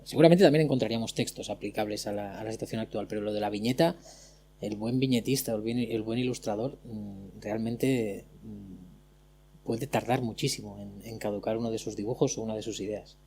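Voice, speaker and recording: Mellow man joking on a panel. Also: fairly low pitch, normal speed, vocal fry, husky. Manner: jokey, stumbles over words. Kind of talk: casual panel discussion.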